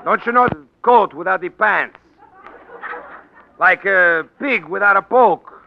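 An actor playing a gruff, impatient crooked political boss in a 1940s radio drama, blunt and ungrammatical. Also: male voice, sits high, measured pace, a crowd in the background, noisy background. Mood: exasperated. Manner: blunt, exasperated, rough ungrammatical street talk. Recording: dull lo-fi vintage radio broadcast.

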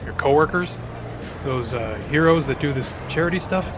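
A man's voice: fairly high pitch, moderate pace, noisy background, lightly acted. A man playing a cool, sardonic male supervillain in an audio drama, with a dry, joking delivery. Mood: wry. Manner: dry, wisecracking.

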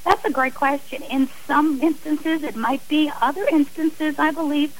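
A woman with a fairly high voice talks as a guest on a radio interview. She is earnest and emphatic, and she often repeats phrases to drive a point home. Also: fairly even intonation, moderate pace, low-quality recording.

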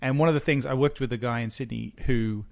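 A man with a mid-pitched voice in loose podcast conversation. He thinks out loud, stumbling and restarting his sentences, and drops the odd casual swear word. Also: steady tone, fast talker, vocal fry. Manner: hesitant, restarts and trails off while thinking aloud. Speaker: podcast co-host, musician. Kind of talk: casual chat.

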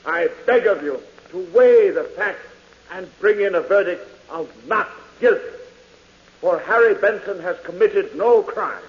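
An actor playing an impassioned defense lawyer addressing a jury in 1940s radio acting on a dull old recording. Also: male voice, sits high, a little slow, long reverb tail. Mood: pleading. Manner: oratorical, pleading.

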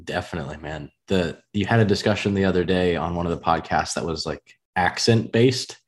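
A man's voice: sits low, a little fast. A man from the States with a country-leaning American accent, hosting a podcast in an easygoing way and often repeating words as he talks. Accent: rural American. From United States. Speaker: podcast host. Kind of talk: casual chat.

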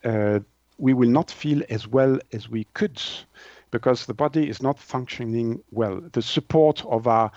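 A man's voice: medium pitch, unhurried, a little mellow, dry recording, dull audio. A man from Switzerland, a native French speaker with a Swiss French accent, explaining things as an interview guest and often searching for words.

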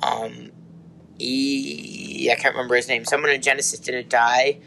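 Bright-voiced, slightly hoarse man. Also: mid-range voice, little pitch movement, a little slow, slight background noise. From United States. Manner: rambling, lots of restarts and "um"s. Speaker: podcast co-host. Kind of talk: casual faith podcast chat.

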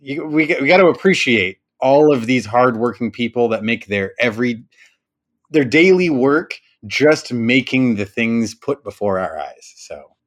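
Male podcast co-host, average pitch, joking, earnest rant. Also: expressive, a little slow. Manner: wisecracking, swears freely, earnest when ranting. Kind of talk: casual banter podcast. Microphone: home podcast microphone.

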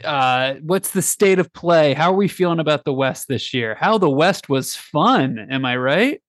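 A man's voice: a little high, animated, moderate pace, fairly bright tone, no echo, studio quality. Jokey man hosting a podcast. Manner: playful, jokey emcee. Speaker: podcast host. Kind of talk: casual sports podcast chat.

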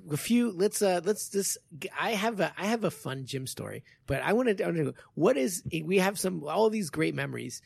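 Gravelly-voiced man in his forties. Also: high voice, very animated, a little fast, hardly any pauses, no echo. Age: 40s. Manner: rambling, many restarts, modest. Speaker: podcast host and jiu-jitsu coach. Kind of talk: casual conversation.

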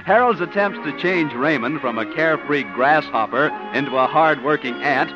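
A man's voice: on the high side, moderate pace. A man announcing and narrating a scripted 1950s radio show. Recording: muffled old radio broadcast. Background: music.

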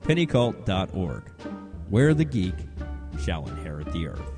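A man's voice: low-pitched, very animated, unhurried. A man delivering a casual, conversational podcast promo in a breezy, colloquial way. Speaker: podcast network promo announcer. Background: music bed.

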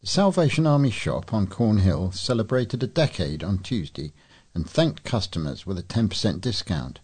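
A man's voice: on the low side, big pitch swings, moderate pace, a little mellow, husky. A man reading scripted local news as a volunteer newsreader.

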